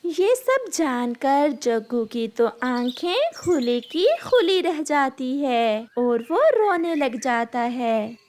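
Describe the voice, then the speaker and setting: Female storyteller for cartoon narration, high-pitched, reading at a very slow pace. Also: very animated, darkish tone, a little hiss or hum.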